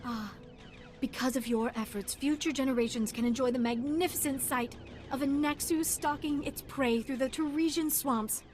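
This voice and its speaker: A high female voice, an actress as an earnest animal activist in a game. She is zealous and gushing about her cause, with music underneath. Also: normal speed, a little mellow, lightly acted. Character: zealous female wildlife activist devoted to predators. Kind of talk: video game dialogue.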